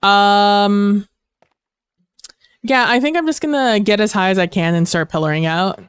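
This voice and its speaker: A woman's voice: mid-range voice, unhurried, clean tone, no echo. Woman, gaming streamer, headset mic, casual rambling chat, losing her voice, dry close sound. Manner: chatty, rambling with fillers.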